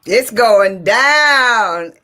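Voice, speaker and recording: A woman's voice: a little high, animated, extremely slow, pushed and bright. A woman from Detroit hosting an upbeat talk radio show, exuberant and sing-song, repeating phrases for emphasis. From Detroit, USA.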